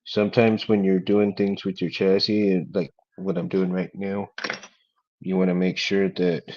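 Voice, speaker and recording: A man talks in a low, flat monotone, murmuring along as he works on a craft project. His delivery is laid-back and unhurried. The recording is dull and lo-fi. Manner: laid-back, talks quietly while working. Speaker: hobby craft vlogger. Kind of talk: casual working chat while building.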